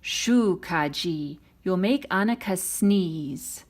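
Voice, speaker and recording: Woman voicing Lena, a fussy, breathy storybook mother. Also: fairly low pitch, animated, slow, exaggerated voice. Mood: scolding. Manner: scolding, emphatic. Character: Lena, young mother, fussy and protective of her baby.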